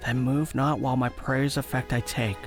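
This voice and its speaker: An actor playing a tender, lovestruck young nobleman, speaking Shakespearean verse with measured care in an audio play. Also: mid-range voice, fairly even intonation, close to an everyday voice. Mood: tender wooing. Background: music.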